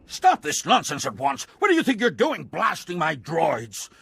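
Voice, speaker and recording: Snooty imperious corporate boss in a game, swooping high male voice, indignant. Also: huge pitch swings, normal speed, vocal fry, fairly bright tone, breathy edge, dry recording, clean studio sound, heavily acted. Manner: pompous, imperious. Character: haughty, indignant male corporate administrator and hunter. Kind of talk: video game dialogue.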